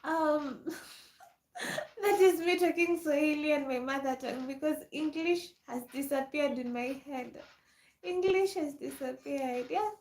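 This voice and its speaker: A woman's voice: sits high, a little slow, a little mellow. A young woman, chatting casually on a livestream, reading and answering viewer comments and laughing often. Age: 20s. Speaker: livestream host. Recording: echoey room.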